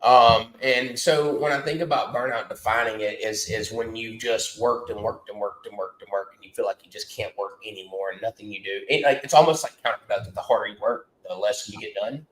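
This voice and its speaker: A man's voice: fairly low pitch, brisk, hardly any pauses, fairly bright tone, echoey room, poor audio. A man co-hosting a casual business podcast, talking in a nasal, stuffed-up voice with lots of fillers and restarts. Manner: rambling with many fillers and restarts. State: congested, nasal from a cold. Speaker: podcast co-host. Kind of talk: casual chat.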